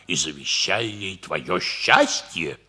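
An actor playing a frank, loyal hussar captain, speaking in an emphatic, theatrical 1960s radio comedy style. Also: male voice, low-pitched, expressive, takes it slowly, sharp tone, husky, exaggerated voice. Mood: earnest, grave. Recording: 1960s radio play with music.

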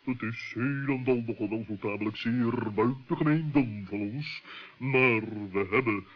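A man's voice: medium pitch, unhurried, dull audio, lightly acted. A man playing a solemn, pompous old bird in a children's radio play, talking in a ponderous, long-winded way.

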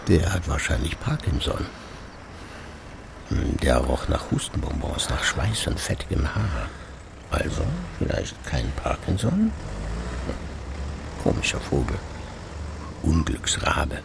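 Tief sprechender, verschrobener älterer Herr, sehr wechselhafte Sprachmelodie. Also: male voice, very deep voice, wildly swooping pitch, slow pace, gravelly, long pauses, noisy background, heavily acted. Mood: wry, musing. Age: 60s. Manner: musing to himself, theatrical shifts between murmur and exclamation. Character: rambling retired radio editor, 66, wry, self-satisfied, musing. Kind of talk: German radio play monologue.

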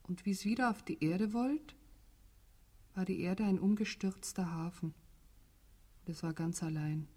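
A woman's voice: on the low side, measured pace, pauses often, darkish tone, breathy edge. An actress playing a melancholy woman in a radio drama, speaking with simple, solemn delivery. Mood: desolate, wistful. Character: melancholy woman telling a bleak fairy tale.

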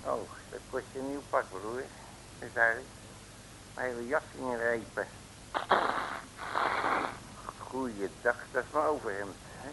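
Man acting a brash, creaky-voiced radio detective. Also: medium pitch, normal speed, natural voice. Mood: cocky. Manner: slangy, blustering, addresses people as "broer". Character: brash, street-smart male detective, bossy and boastful. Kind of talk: 1950s Dutch radio serial acting. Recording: hissy old radio recording.

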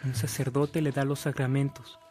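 A woman's voice: rumbling low, little pitch movement, moderate pace. Woman narrating a radio drama. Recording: narration over clear music.

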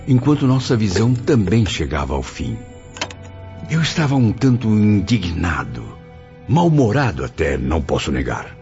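A Brazilian actor playing a grave, reflective spirit narrating his memories in a radio drama. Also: deep voice. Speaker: first-person narrator. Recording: dull lo-fi audio under loud music.

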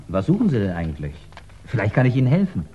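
A man's voice: on the low side, wildly swooping pitch, fast, slight background noise. An actor playing a helpful, chatty archive man who loves horse racing, eager and musing, in a 1960s German radio drama. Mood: curious. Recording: dull 1960s radio recording.

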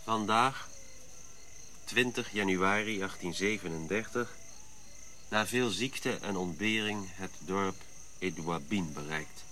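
A man playing a grave nineteenth-century explorer reciting his journal in a radio drama, measured and formal. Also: deep voice, sharp tone, slight background noise, heavily acted.

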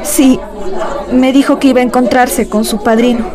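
A woman's voice: sits high, fairly even intonation, moderate pace, crowd noise, lightly acted. A woman acting a meek, frightened young daughter-in-law in a radio drama, with a submissive, apologetic way of speaking. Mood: meek, fearful.

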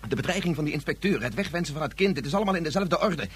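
Troubled, hesitant scientist, lively pitch swings. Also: male voice, slightly rough. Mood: troubled hesitation. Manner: halting, abstract, hesitant. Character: troubled, guilt-ridden physicist and father. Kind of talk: Dutch science-fiction radio drama acting. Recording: radio play with faint background hum.

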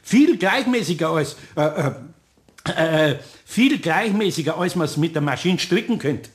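A man's voice: a little high, animated, a little slow. An actor playing a kindly, indulgent older craftsman with a Bavarian accent in a children's radio play. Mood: surprised, flustered. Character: kindly, good-natured older male craftsman.

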